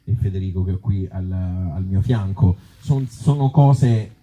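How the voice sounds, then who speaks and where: A man with a Tuscan accent talking informally at a live event as an actor and author, in halting, circling phrases with blunt joking asides. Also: low voice, dark tone, poor audio. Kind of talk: informal live talk with the audience. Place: outdoor evening event in an olive grove.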